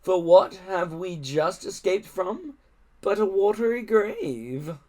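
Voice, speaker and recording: A treacherous storybook villain acted out by a man. He is sly and menacing, stretching his words slowly and insinuatingly, with a lively swing in pitch. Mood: quiet menace. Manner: slow, drawn-out, insinuating.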